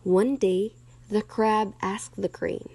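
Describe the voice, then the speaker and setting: A woman's voice: medium pitch, fairly even intonation, takes it slowly, gravelly, a little mellow, breathy edge, no echo. A woman narrating a scripted story as a storyteller.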